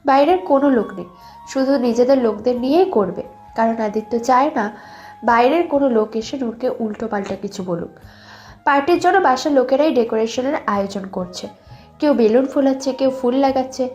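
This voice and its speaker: Casting: female story narrator, high voice, scripted romantic fiction, faint music bed. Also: normal speed, a little mellow.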